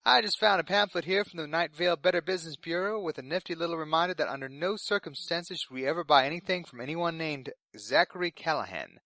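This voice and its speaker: Young male radio intern, played by a man: polite, eager, nervous, lighter pitch, fiction podcast newscast, dry sound. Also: fast, few pauses, pushed and bright, dry recording. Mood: chatty, uneasy. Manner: polite, earnest delivery of absurd news. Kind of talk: scripted fiction podcast in community radio broadcast manner.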